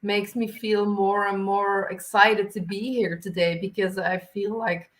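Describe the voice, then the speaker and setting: A woman's voice: medium pitch, little pitch movement, a little slow. A woman speaks as a podcast guest, earnest and candid, working through her thoughts openly. Her voice sits in an ordinary middle register. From Belgium. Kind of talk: casual interview talk.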